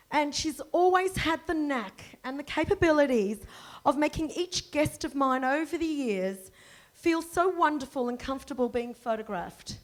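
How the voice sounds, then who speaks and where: A woman hosting a book launch, gushing with praise as she introduces the speakers. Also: sits high. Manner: gushing, warm praise with little laughs. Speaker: event host. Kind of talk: introductory speech.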